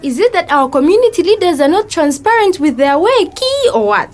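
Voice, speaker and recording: A woman acting a brisk, outspoken friend with a Zambian accent, blunt and conversational, in a scripted educational audio drama. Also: very high voice, big pitch swings, soft background music. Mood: exasperated.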